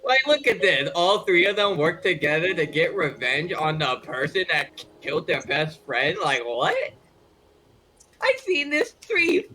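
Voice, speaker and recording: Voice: mid-range voice, huge pitch swings, measured pace, sharp tone. A person joking through a casual group game session, exclamatory and mock-exasperated. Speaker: participant in a group game playthrough. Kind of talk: casual group game chat with dialogue reading.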